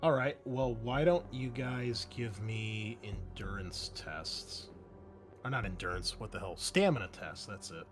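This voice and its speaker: A man's voice: on the low side, unhurried. A man running a tabletop roleplaying game as game master, mixing improvised narration with conversational explanations to his players. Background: music under the voice.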